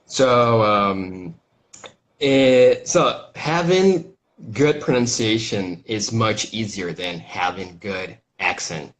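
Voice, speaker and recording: A lowish male voice with a clear Brazilian accent, speaking English as a second language. He talks in a relaxed, halting way, full of "you know" and restarts, as a guest on a video-call interview. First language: Portuguese. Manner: halting, many fillers and restarts. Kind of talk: casual interview chat.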